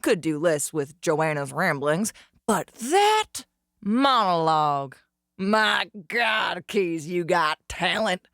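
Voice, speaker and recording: Slow, smug western outlaw woman, acted. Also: fairly low pitch, sing-song, fairly bright tone, no echo, studio quality, full character voice. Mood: mocking, amused. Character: smug, mocking western outlaw, flamboyant and amused. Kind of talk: theatrical western audio drama.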